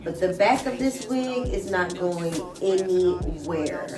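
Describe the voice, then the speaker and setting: A woman's voice: on the low side, expressive, slow pace. Stuffy-nosed woman chatting through a tutorial. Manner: chatty, keeps addressing viewers as guys. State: stuffy nose from a cold. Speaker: hair vlogger. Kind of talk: casual wig install tutorial. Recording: roomy sound from a distant mic with music. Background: background music.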